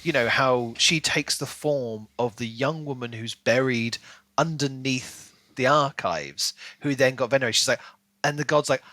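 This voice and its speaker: Guy on a book podcast, bright forward voice, dry close sound, acts out little lines as he explains. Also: medium pitch, unhurried, dry recording. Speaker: podcast co-host. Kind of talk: casual book discussion.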